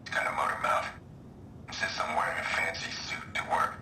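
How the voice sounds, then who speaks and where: An actor playing a brooding, guarded vigilante hacker in his late thirties, speaking in terse, hushed, breathy lines for a video game audio log. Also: brisk, reverberant, recorded from a distance, slight background noise. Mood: wry, terse. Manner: terse, clipped, grim.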